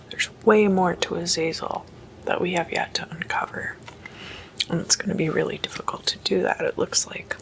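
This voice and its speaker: A woman doing whispered ASMR as a host, hushed and deliberate, mostly in breathy, unvoiced whisper. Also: fairly low pitch. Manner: slow, hushed, deliberate delivery.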